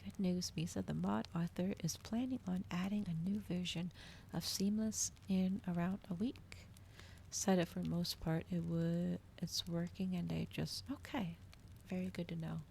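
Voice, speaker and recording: A woman livestreamer chatting casually in a soft ASMR style, often dropping toward a whisper. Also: lowish voice, steady tone, measured pace, gravelly, slight background noise. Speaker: livestreamer hosting an ASMR reading stream.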